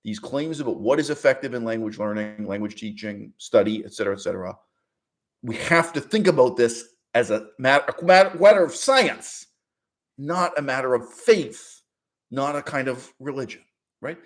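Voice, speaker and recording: A man with a mid-pitched voice delivers argumentative commentary as a YouTuber. He is emphatic and sardonic, leaning on repetition for weight. He often frames his points as rhetorical questions. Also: expressive, moderate pace. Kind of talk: argumentative commentary with read-out quotes.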